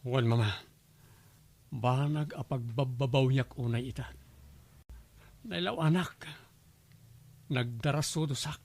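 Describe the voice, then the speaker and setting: A man's voice: mid-range voice, takes it slowly, long pauses, darkish tone. A man playing a remorseful young groom in a radio drama, earnest and pleading. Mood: remorseful, confessing. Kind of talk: Ilocano radio drama acting.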